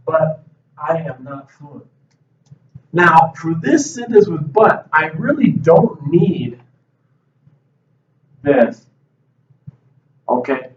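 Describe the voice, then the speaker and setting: A man teaching English grammar at a whiteboard, explaining slowly and patiently with frequent checks of "okay". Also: a little high, slight background noise. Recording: roomy, distant, dull classroom audio.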